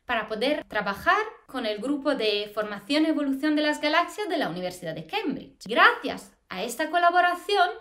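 A woman in her thirties from Italy with an Italian accent, a postdoctoral researcher explaining astronomy to school students in an expressive, lively way, recorded in a small plain room by a camera a few feet away. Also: high voice. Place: small plain indoor room against a wall. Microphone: camera a few feet away, head to waist framing.

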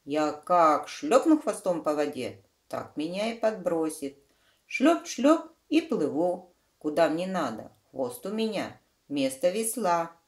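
A woman's voice: fairly low pitch, sing-song, slow pace. A woman voicing a matter-of-fact crayfish in a children's storybook, explaining itself emphatically. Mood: emphatic. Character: matter-of-fact crayfish with weak little legs.

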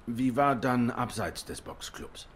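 An actor playing a cool, courteous cat private detective in a noir video game, questioning in a measured, polite way. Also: male voice, medium pitch, expressive, a little hiss or hum. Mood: calm, probing.

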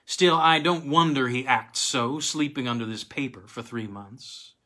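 A middle-aged man reading a literary story aloud expressively, with dramatic, halting delivery and emphatic pauses. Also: mid-range voice, bright, forceful tone. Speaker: reader of weird tales. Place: small home room with bookcase, indoors.

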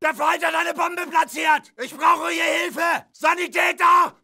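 Casting: urgent Wehrmacht infantryman for a war game, shouted clipped callouts, very piercing and rough. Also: extremely high pitch, flat delivery, measured pace, some chatter behind, exaggerated voice. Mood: urgent alarm. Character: alarmed Wehrmacht soldier bellowing battlefield warnings and callouts. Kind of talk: shouted video game combat barks.

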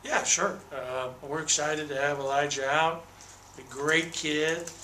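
Bright-voiced older coach in a roomy press room. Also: male voice, on the high side, fairly even intonation, a little slow, a little hiss or hum. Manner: candid, detailed, repeats words when restarting. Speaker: basketball head coach. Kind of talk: postgame press conference answers. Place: small press room with a sponsor backdrop. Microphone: table microphone on a desk. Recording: roomy echo.

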